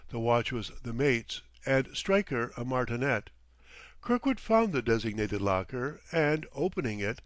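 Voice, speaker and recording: Animated male audiobook narrator, dry sound. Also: mid-range voice, no echo. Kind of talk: scripted literary narration.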